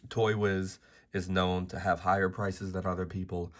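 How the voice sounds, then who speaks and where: A man with a hoarse, worn-out voice from being sick, delivering a blunt, profane, exasperated rant to camera as a card-game video host. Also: sits low, little pitch movement, normal speed. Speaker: trading card game YouTuber. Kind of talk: ranting video commentary.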